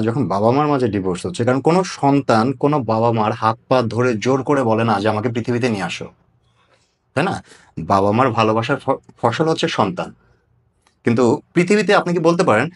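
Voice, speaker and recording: Fast-talking male interviewer. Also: medium pitch. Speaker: interviewer, host. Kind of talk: conversational probing interview.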